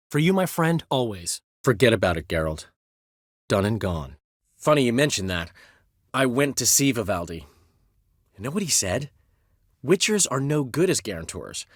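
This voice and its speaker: A flamboyant, vain bard, theatrical and wry as he boasts and teases his friends. His pitch swings in a lively way, with a slightly husky grain. The recording is dry and close, with no room sound. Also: no room sound, full character voice. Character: flamboyant male bard, vain, charming, talkative. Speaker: game character. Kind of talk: game dialogue voice acting.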